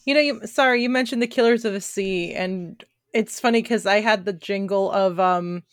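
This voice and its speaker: A woman's voice: medium pitch, normal speed, dry recording, clean studio sound. A woman co-hosting a podcast in casual chat, playful and jokey, laughing easily. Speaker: podcast co-host.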